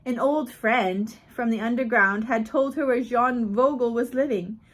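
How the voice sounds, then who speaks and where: A woman reading a biography aloud as scripted narration, in measured phrases like an audiobook reader. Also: on the high side, poor audio.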